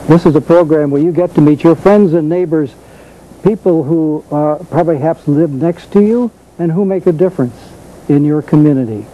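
An older American man co-hosting an informal talk show, often halting as he searches for words. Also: fairly high pitch, highs cut off. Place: community television studio.

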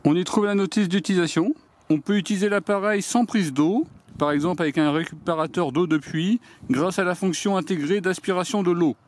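DIY host, man, slightly high voice, plain practical step-by-step delivery, recorded outdoors on camera mic. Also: fairly quick. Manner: plain step-by-step explaining, addresses viewers directly. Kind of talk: hands-on product demonstration. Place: outdoors on a garden deck.

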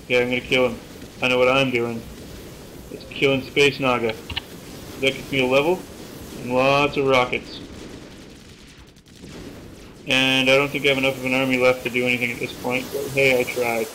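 A young man from Canada giving joking, self-mocking commentary over his own gameplay at home, now and then shouting at the screen. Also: medium pitch, little pitch movement, normal speed, long pauses, slight background noise, rough recording. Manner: joking, self-mocking, bursts of yelling at the game. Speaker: gaming YouTuber. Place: small room at home.

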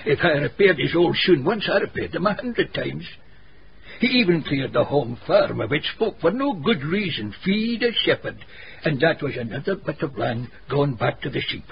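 A muffled radio drama recording with some hiss. an old Scottish cobbler with a gravelly creak, grave and pedantic, who relishes village gossip in thick dialect. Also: male voice, a little high, sing-song, normal speed. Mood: bitter, wry. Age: older. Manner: wordy, dialect-rich, pedantic storytelling. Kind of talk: radio drama dialogue, storytelling.

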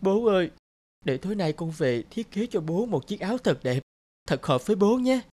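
A woman's voice: mid-range voice, sing-song, normal speed, mellow. A woman voicing a remorseful, loving young adult daughter in a cartoon, with an emotional, tender manner. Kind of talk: cartoon voice acting. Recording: music bed under the voice.

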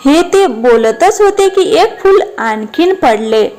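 High-pitched woman narrating a children's story. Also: lively intonation, takes it slowly, a little mellow, faint music behind.

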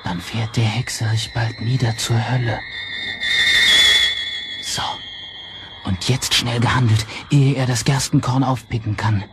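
Man as a slow-speaking, resolute young brother. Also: medium pitch, steady tone, close to an everyday voice. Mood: fierce. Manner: deliberate, incantatory. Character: resolute young brother casting protective spells. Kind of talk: children's radio play acting. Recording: noisy vintage children's record.

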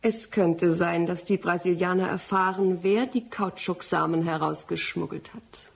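An actress playing a shrewd, scheming female pharmacy expert in a radio drama, with a husky, breathy voice. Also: fairly low pitch, unhurried, exaggerated voice. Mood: coolly seductive. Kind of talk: German radio play acting.

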